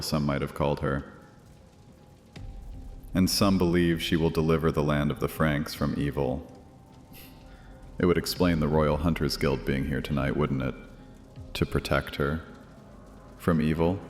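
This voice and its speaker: A scheming high priest played by a man speaks in a very deep, theatrical voice. He is sardonic and insistent, a persuasive fantasy audio drama villain echoing through a long reverb with music underneath.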